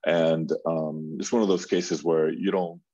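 A man's voice: extremely low pitch, fairly even intonation, unhurried, warm tone, no echo, highs cut off. A man from Colombia, a furniture designer talking casually as an interview guest, rambling with many fillers and restarts.

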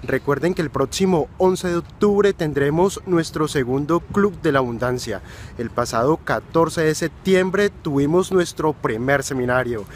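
A man's voice: fairly high pitch, unhurried, a little hiss or hum. A man in his thirties, a finance teacher, earnestly promoting a seminar in a selfie video recorded outdoors, with some fillers. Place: outdoor city plaza. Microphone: phone camera held at arm's length.

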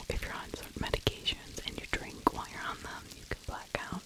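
A middle-aged woman telling a story in a breathy ASMR whisper, halting and conversational, very close to the microphone. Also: poor audio. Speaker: ASMR true crime storyteller. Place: small indoor room. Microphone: large furry-windscreened mic, held very close to the mouth.

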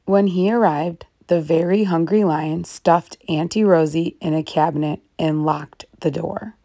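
Female children's storybook reader, slightly low pitch, very unhurried, emphatic on key words. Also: mellow. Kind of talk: children's read-aloud narration.

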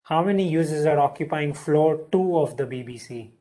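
A man's voice: a little high, moderate pace, warm tone. A young man giving spoken commands to a voice assistant over a video call. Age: young adult. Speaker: product demonstrator. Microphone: video call from a laptop.

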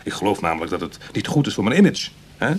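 An actor playing a charming, self-mocking ex-burglar in a Dutch radio drama, with a Netherlands accent and a wry, chatty manner. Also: male voice, deep voice, big pitch swings, fast talker, husky, exaggerated voice. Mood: wry, reflective. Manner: teasing, hesitant asides, chuckling irony.

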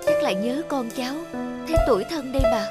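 A woman's voice: high-pitched, quick pace, close to an everyday voice. A woman playing a kind young nurse in a cartoon, speaking politely and earnestly. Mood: sympathetic. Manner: polite, caring. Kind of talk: animated moral tale dialogue. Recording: music behind the voice.

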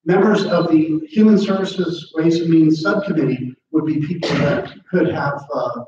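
A middle-aged American man with a slightly high voice, talking loosely and rambling through fillers and false starts. He is picked up from far across a big, very echoey meeting room. The sound is dull, with an audience murmuring behind him. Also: moderate pace, crowd noise. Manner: rambling, many fillers and restarts. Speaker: state legislator speaking at a party meeting. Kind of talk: casual talk with audience questions. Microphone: far camera, no mic.

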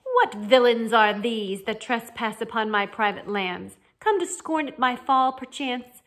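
Lady doing a tiny storybook knight, loud and blustery, pompous old-time challenges, hot temper. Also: fairly high pitch, measured pace. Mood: blustering outrage.

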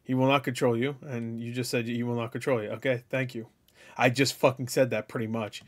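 A man with a mid-pitched voice gives off-the-cuff reaction commentary. He talks casually, swears freely and makes joking, mock-dumb asides. Game music plays behind him. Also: little pitch movement, fairly quick. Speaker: reaction video host. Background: video game dialogue and music.

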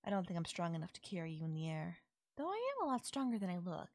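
A woman voicing a doting, possessive yandere fairy in an intimate audio roleplay, coaxing and softly teasing. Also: on the low side, lively intonation, quick pace, no room sound, studio quality. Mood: tender, possessive. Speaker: voice actor. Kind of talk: intimate audio roleplay, ASMR-style acting.

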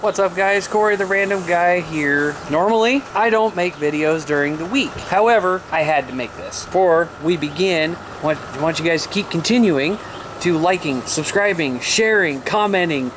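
A man's voice: high voice, normal speed. An American man in his thirties chatting casually to camera as a vlog host, laid-back and informal. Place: outdoors by a mobile home, later a small room indoors. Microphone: camera at arm's length. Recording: clear outdoor noise, handheld camera.

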